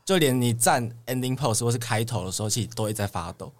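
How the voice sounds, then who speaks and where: A man's voice: medium pitch, lively intonation, quick pace. A young man with a Taiwanese Mandarin accent, telling stories casually as an interview guest. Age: young adult. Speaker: guest, idol trainee.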